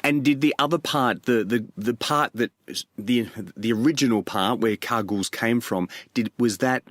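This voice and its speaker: Male host, mid-pitched, friendly and stumbly, dry studio sound. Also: normal speed, fairly bright tone, dry recording. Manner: friendly, stumbling restarts, frequent backchannels. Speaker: podcast host. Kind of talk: interviewer asking questions.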